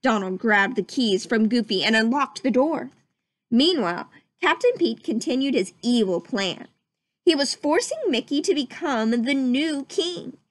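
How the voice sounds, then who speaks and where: A woman narrates a children's storybook. Her voice is fairly high and very lively in pitch, close and dry in sound. She tells it expressively, with a sense of drama. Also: unhurried, dry recording. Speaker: storybook reader. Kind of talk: scripted children's story narration, read aloud.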